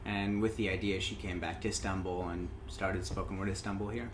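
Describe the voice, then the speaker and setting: A man from Indiana, a guest talking casually about his life, unhurried and dotted with ums. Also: low-pitched, background noise. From Indiana, USA. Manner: relaxed storytelling with frequent "um" and "uh". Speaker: interview guest, open mic host. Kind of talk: casual interview.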